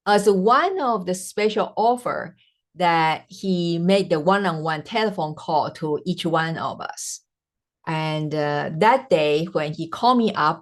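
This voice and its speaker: A woman from Taiwan, a native Mandarin speaker with a Taiwanese Mandarin accent, hosting her podcast and telling her own story earnestly, with frequent "uh" pauses. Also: fairly low pitch. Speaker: podcast host. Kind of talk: personal storytelling monologue.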